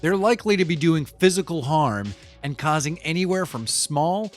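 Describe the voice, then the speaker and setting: A man's voice: a little high, lively intonation, moderate pace, faint music behind, natural voice. A man playing a cynical, pragmatic business type in an audio drama monologue, matter-of-fact, opinionated and conversational as he explains things. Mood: wry, animated.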